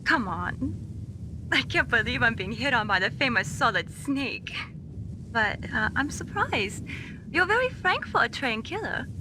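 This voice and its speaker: A woman's voice: on the high side, big pitch swings, a little slow. A woman voicing a young female weapons designer who teases and explains, in a noisy game cutscene. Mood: teasing. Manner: bright, teasing.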